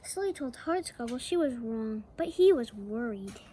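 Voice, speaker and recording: A woman reading a children's picture book aloud, slowly and with playful asides to the young listener. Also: fairly high pitch, lively intonation, mellow, slight background noise. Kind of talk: read-aloud storytelling for kids.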